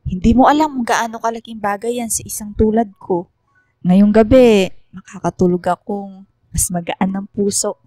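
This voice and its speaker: A woman playing a kind, motherly schoolteacher, affectionate and gently encouraging, in a sentimental radio drama. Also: mid-range voice, moderate pace, dry recording, clean studio sound, lightly acted. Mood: affectionate, touched.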